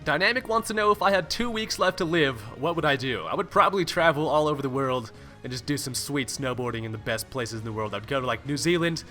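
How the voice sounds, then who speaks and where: Young male YouTuber, bright tone, laid-back and jokey, swears casually, light music bed. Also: medium pitch, animated, fast. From California. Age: young adult. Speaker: YouTuber answering viewer questions. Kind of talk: casual Q&A voice-over. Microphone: home recording mic, no webcam.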